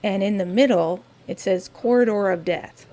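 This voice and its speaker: A woman with a raspy voice, talking softly and unhurriedly through a quiet crafting vlog in her home studio, with wry asides. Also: medium pitch, moderate pace. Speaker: crafting vlogger.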